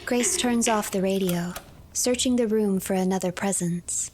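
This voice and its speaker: A woman streamer narrating a video game as a story, reading in a measured, formal storyteller delivery. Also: medium pitch. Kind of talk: scripted story narration, third person. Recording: clear background noise, game ambience.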